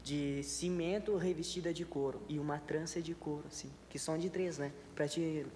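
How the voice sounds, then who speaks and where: A man's voice: fairly high pitch, fairly even intonation, normal speed, warm tone. A young man from southern Brazil with a Rio Grande do Sul accent, a talk-show guest who answers eagerly and repeats himself. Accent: Rio Grande do Sul Portuguese. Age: young adult. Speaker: guest performer. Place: large TV studio with audience. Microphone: desk microphone. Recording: studio hall reverb, some background noise.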